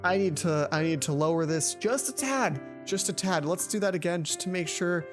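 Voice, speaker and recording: A woman's voice: lowish voice, moderate pace, over music. A woman hosting a let's play, reading a visual novel aloud with casual, playful asides. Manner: playful asides, self-correcting.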